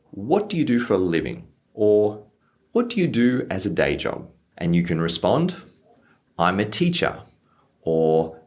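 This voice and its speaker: A young man teaching English phrases to learners, slowing down on example lines. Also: low-pitched. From Australia. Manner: lively, stresses example phrases slowly. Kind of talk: clear teaching explanation for learners.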